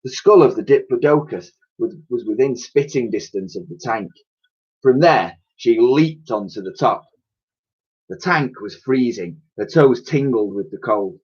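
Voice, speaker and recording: A man's voice: medium pitch, expressive, measured pace, a little mellow, breathy edge, dull audio. A man reading a children's story aloud like a teacher, with theatrical, suspenseful narration and emphatic sound effects spoken by mouth.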